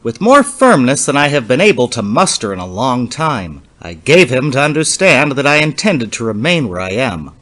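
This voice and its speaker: A man's voice: mid-range voice, very animated, normal speed, hardly any pauses, a bit bright. A man playing a lonely, ailing bachelor professor who narrates an old horror story in a formal, precise, brooding way. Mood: intrigued, irked. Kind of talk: dramatic reading of a 1930s horror story.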